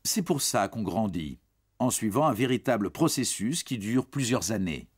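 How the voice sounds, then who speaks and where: A man's voice: fairly low pitch, lively intonation, moderate pace, husky. A man reading scripted educational narration for children, explaining things in a reassuring way and speaking directly to the young listener.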